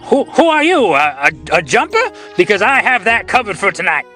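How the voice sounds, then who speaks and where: Man playing a high-pitched, exasperated everyman husband. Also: sing-song, normal speed, pushed and bright, faint music behind, heavily acted. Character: exasperated, self-pitying everyman husband in trouble. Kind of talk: comic radio play acting.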